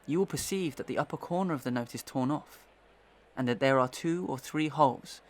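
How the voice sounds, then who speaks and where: An actor playing a methodical, suspicious gentleman accuser in a Victorian audio melodrama, telling his account formally and dramatically. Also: mid-range voice, a little fast, slight background noise, close to an everyday voice.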